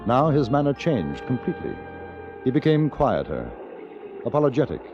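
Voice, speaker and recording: An American actor playing a calm, observant western traveler who narrates his own story in a radio drama. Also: medium pitch, moderate pace, lo-fi sound, over music. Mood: measured, watchful.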